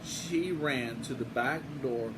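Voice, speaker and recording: A man's voice: mid-range voice, slow. An older man, a grandfather, reading a picture book aloud in a casual, playful way with folksy asides. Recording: home recording with steady room hum.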